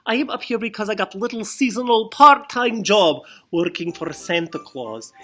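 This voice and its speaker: A woman's voice: mid-range voice, lively intonation, normal speed, pushed and bright, soft background music, voice pushed up. A woman voicing a boastful, touchy male hedgehog puppet who speaks broken English without articles. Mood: indignant.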